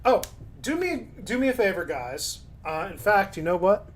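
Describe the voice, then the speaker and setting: A man's voice: high-pitched, sing-song, moderate pace, a little hiss or hum. A man streaming casually, reading chat aloud and commenting in a laid-back way, his voice starting to go.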